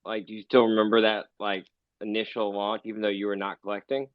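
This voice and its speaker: A man with a fairly low voice conducts a casual interview. He phrases questions haltingly and restarts them often, and the online audio is dry and a little muffled. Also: little pitch movement, no room sound. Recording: slightly dull online audio room sound.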